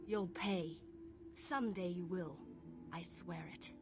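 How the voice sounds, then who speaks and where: An actress playing an anguished, vengeful noblewoman in a video game, melodramatic and drawn-out. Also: fairly low pitch, music in the background. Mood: bitter, vengeful.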